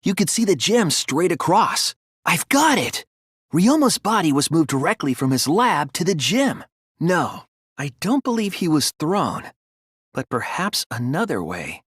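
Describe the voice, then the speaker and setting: A man voicing an earnest young apprentice detective in a dramatic anime video game, reasoning with deliberate intensity. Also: on the high side, big pitch swings, slightly rough, no echo, studio quality, heavily acted. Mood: urgent, realizing. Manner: earnest, deliberate, deductive, sometimes hesitant.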